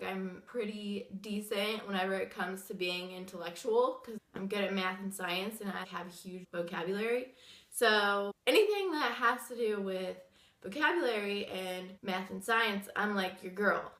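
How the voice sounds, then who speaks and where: A woman's voice: mid-range voice, pushed and bright, echoey room. A young woman with a sick, wheezy voice, chatting and rambling through a casual story time vlog. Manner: chatty, rambling with many fillers. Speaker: vlogger.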